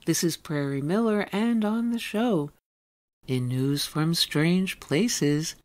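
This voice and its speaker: A woman's voice: lowish voice, slow pace. A woman hosts a radio arts program. She reads scripted introductions and links in the manner of a broadcast presenter. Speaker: radio show host.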